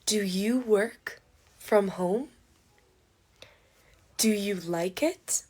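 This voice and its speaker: Very slow woman teaching English. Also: mid-range voice, a little mellow. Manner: deliberate, short phrases with pauses. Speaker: English teacher and podcast host. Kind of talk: slow English podcast for learners.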